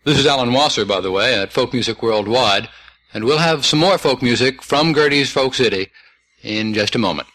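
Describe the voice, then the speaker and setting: A man hosting a folk music radio show, introducing taped recordings in a measured announcing style. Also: mid-range voice, fairly bright tone, rough recording. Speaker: radio host. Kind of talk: scripted radio presenting.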